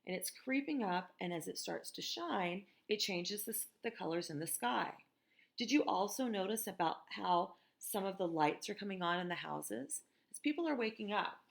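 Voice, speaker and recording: An American woman reading a picture book aloud to children in a gentle, expressive teacher's manner, with conversational asides. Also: medium pitch, moderate pace, gravelly. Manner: gentle, expressive, asks listeners questions.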